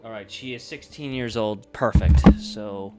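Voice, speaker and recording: A man livestreaming casually, thinking aloud in a halting, unscripted way as he works through ideas. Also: lowish voice, over music. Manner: halting, pausing to think and correct himself. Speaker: livestreamer brainstorming a roleplaying campaign.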